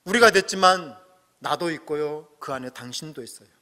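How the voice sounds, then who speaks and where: A middle-aged man preaching a sermon as pastor, earnest, swelling to emphatic peaks and dropping to soft asides. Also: fairly high pitch, brisk. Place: church sanctuary. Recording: long hall reverb.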